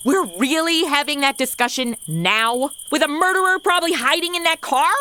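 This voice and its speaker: Woman playing a high-pitched, sarcastic young woman. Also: normal speed. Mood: snarky. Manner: snarky, blunt, animated. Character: sassy, sarcastic modern young woman, exasperated. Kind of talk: comedy audio drama.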